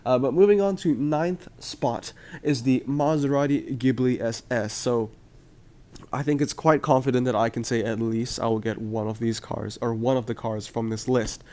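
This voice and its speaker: A man's voice: mid-range voice, normal speed, darkish tone. A young man hosting casual gaming commentary to his viewers. He is chatty and upbeat, uses frequent "uh" and "um", and his voice is slightly off from a cold. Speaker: mobile game video host.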